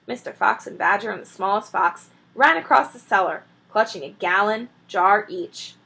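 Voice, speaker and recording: A woman, a teacher, reading a children's story aloud with expressive narration. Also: mid-range voice, moderate pace, creaky, poor audio.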